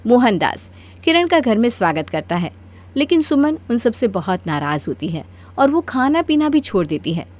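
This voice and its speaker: A woman's voice: fairly high pitch, very animated, normal speed, a little mellow. A woman narrator reading a scripted Hindi cartoon moral story.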